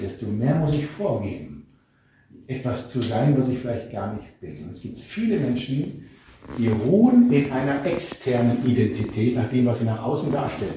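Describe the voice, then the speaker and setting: A man's voice: medium pitch, warm tone. A male preacher giving a reflective sermon, putting many questions to his listeners. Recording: echoey room, speaker far from mic, faint noise.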